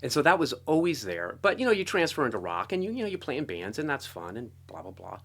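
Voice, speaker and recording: A middle-aged American man telling his story casually as an interview guest, talkative and animated. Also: medium pitch, fast talker, gravelly. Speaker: interview guest, composer and guitarist.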